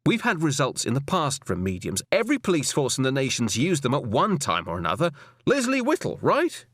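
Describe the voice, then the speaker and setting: A man's voice: mid-range voice, huge pitch swings, a little fast, fairly bright tone, no room sound, clean studio sound. A man voicing a stubborn, defiant male police detective in an audiobook, insistent and argumentative. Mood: defensive. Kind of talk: audiobook character dialogue.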